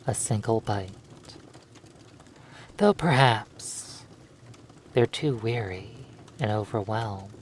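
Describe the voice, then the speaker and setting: A narrator telling a second-person hypnosis story in a measured, halting way, in short phrases with long pauses. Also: medium pitch, slight background noise.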